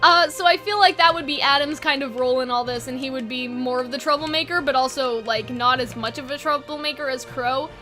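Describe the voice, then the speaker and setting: Bright, high-pitched chatty woman. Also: fairly quick, hardly any pauses, faint music behind. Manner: chatty, informal, thinks aloud with frequent "like". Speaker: online video creator and host. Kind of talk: casual fan brainstorming chat.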